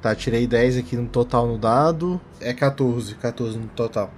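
A man's voice: medium pitch, normal speed, a little hiss or hum. A man with a Brazilian accent playing a tabletop RPG, improvising casually in colloquial, repetitive phrases. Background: faint music.

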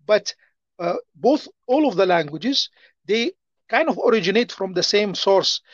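A man with an Arabic accent answering interview questions in a halting way, full of fillers and restarts. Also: high voice, expressive, dry recording, highs cut off. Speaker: interview guest.

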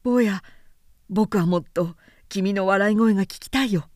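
A woman voicing a grown man in a storybook, an anxious, tender friend speaking to a child in a hushed, pleading way. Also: medium pitch, measured pace, dry recording, studio quality, voice pushed down, natural voice. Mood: tender, worried. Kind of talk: storybook character voice in a literary recitation.

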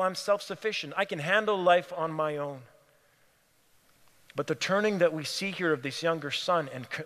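A man's voice: high voice, a bit bright. A man from Cameroon preaching a sermon in an earnest way, repeating phrases for emphasis. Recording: long hall reverb.

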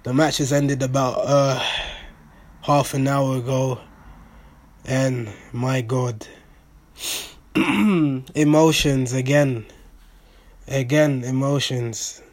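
A young man with a hoarse, raspy voice, talking through a casual post-match football reaction in an excitable, blunt way. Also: medium pitch, drawn-out words, long pauses. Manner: excitable, blunt, swears freely. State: hoarse, voice nearly gone. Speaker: football fan vlogger.

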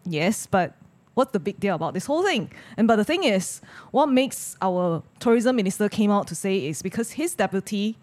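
Malaysian woman explaining in medium pitch. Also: animated, normal speed, darkish tone. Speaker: panelist. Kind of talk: conversational explanation.

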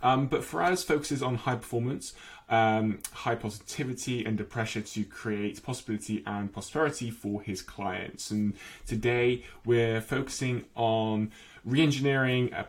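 Conversational male podcast host. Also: on the low side.

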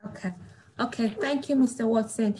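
A woman's voice: a little high, moderate pace, mellow. A woman moderating an online discussion, acknowledging speakers and rephrasing their points.

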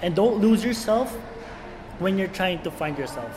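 A young Filipino man with a high voice and a blended international English accent. He talks casually, full of slang and repetition, like a guest on a relaxed podcast. The sound has a long hall reverb and a little background noise. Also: normal speed. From Filipino raised in Saudi Arabia. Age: young adult. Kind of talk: casual chat.

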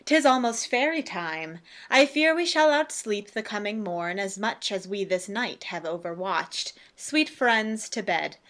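A woman playing a gracious, wry and authoritative noble ruler, measured and declamatory in a Shakespearean radio play. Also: mid-range voice, expressive, fairly bright tone. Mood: stately.

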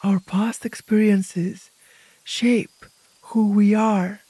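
A woman narrating a guided imagery meditation as host, speaking gently in short, hushed phrases with pauses. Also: medium pitch, fairly even intonation, breathy edge, dry recording, low-quality recording.

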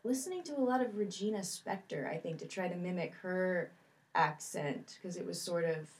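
A woman guest on a podcast with a slightly low voice. She tells her stories in a chatty, self-deprecating way. It is recorded on a studio microphone. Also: normal speed. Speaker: actress guest. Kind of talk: casual interview chat.

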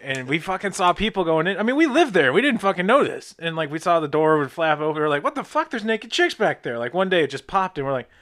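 A man's voice: fairly high pitch, very animated, fast talker, few pauses, a bit bright. A middle-aged man co-hosting a podcast, telling long profane stories in casual chat. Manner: profane, rambling storytelling. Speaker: podcast co-host.

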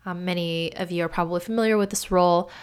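A woman host explains a topic on her own, with a lowish voice. It comes out smooth and clear despite a gravelly edge left by a cough. She keeps a calm, measured, explanatory pace. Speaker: podcast host. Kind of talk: solo explainer talk.

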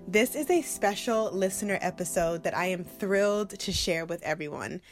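A woman hosting a podcast, introducing the episode and asking listeners questions in a measured way. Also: medium pitch, animated. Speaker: podcast host. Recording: music bed underneath.

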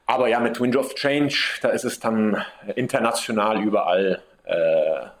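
Italian man, a bit low-voiced, guest on a chat, searching for words with lots of fillers. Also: normal speed. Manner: hesitant, many fillers and restarts. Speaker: guest musician. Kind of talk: casual interview answer.

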